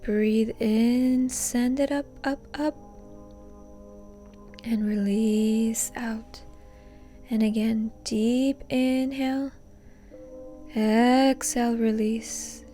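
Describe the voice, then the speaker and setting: Woman, meditation guide, very slow pace, breathy near-whisper, mellow tone, calm, faint music behind. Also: on the high side. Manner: calm, unhurried, gently instructing. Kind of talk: soothing guided meditation narration.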